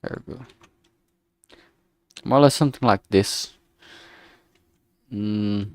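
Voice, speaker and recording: A man streaming as he works, thinking aloud casually in a halting way and muttering to himself. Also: fairly low pitch, a little mellow, dry recording, studio quality. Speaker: streamer making a visual novel. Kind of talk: casual think-aloud art livestream commentary.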